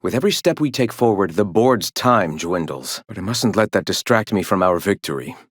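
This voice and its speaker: Casting: a zealous male sect philosopher for a game, grave and lofty orator, slightly low voice with sweeping intonation. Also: lively intonation, breathy edge, dry recording, studio quality. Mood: resolute, rallying. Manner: lofty, preacherly, measured oratory with grave formal diction. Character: zealous male philosopher leader of an anti-corporate rebel sect. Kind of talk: video game character dialogue.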